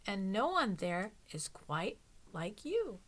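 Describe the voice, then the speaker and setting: A woman reading a children's picture book aloud expressively, with measured, deliberate phrasing. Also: medium pitch, big pitch swings, a bit bright, slight background noise.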